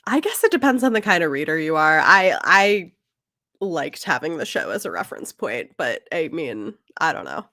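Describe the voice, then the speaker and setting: A woman with a slightly low-pitched voice, recorded dry and close. She co-hosts a podcast and talks casually about books. Her way of speaking is tentative and conversational. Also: very animated, normal speed, pushed and bright, no echo. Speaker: podcast co-host. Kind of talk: casual book discussion.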